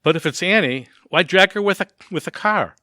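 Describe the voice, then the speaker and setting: A doubting, mild neighbour husband played by a man, with a bright, animated and fairly high voice. He reasons hesitantly in a comic audio play. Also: a little fast, dry recording, clean studio sound. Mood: doubtful. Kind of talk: audio theatre comedy acting.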